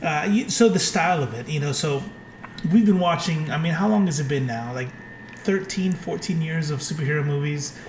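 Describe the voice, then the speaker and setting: A man's voice: a little high, normal speed, a little hiss or hum. A man in his late thirties co-hosting a podcast, talking casually in a rambling, enthusiastic way. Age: 30s. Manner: enthusiastic, rambling, many fillers. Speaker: podcast co-host. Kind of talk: casual chat. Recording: echoey room.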